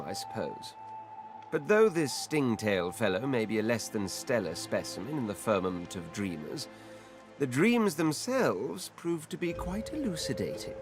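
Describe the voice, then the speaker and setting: An actor voicing a haughty, imperious lizard prince in a video game, speaking in an ornate, pompous and commanding way. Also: male voice, mid-range voice. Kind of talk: theatrical video game voice acting.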